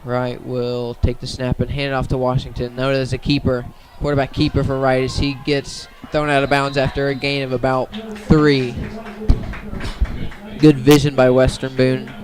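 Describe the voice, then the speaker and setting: A man doing live radio play-by-play of a high school football game in clipped, descriptive phrases. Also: completely flat delivery, moderate pace. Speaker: play-by-play sports commentator.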